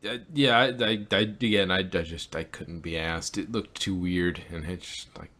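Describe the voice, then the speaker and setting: A man co-hosting a podcast in casual group chat, with a halting, jokey way of talking. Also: deep voice, sharp tone. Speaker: podcast co-host.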